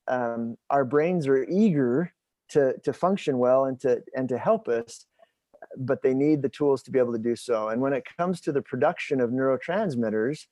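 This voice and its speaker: A man in his forties in a conversational interview, explaining things in a halting, thinking-aloud way. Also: medium pitch, mellow, dry recording. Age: 40s. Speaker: host interviewing his father.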